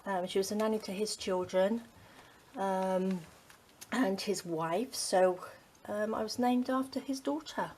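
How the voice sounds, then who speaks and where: A middle-aged woman from the UK, chatting casually to her craft channel, rambling with many ums and dropping to a soft near-whisper as she works. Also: medium pitch, measured pace, a little hiss or hum. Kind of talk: casual chat while crafting.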